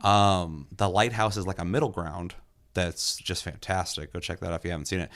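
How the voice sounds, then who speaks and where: A young man casually reviewing a movie in a relaxed, enthusiastic way, with lots of fillers and the odd curse. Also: low-pitched, a little fast. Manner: loose and enthusiastic, many fillers, occasional swearing. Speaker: movie reviewer, podcast host. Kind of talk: casual film review chat.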